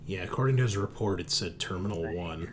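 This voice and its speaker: A man working as game master, narrating a tabletop roleplaying game in a halting, hesitant way. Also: low-pitched. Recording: clearly noisy background.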